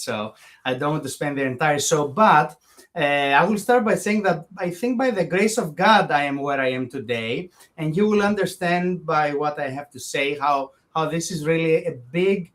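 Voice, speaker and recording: A man's voice: on the high side, a bit bright. A man from Greece with a Greek accent, speaking as an interview guest in long, earnest, winding sentences that often restart. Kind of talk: conversational interview.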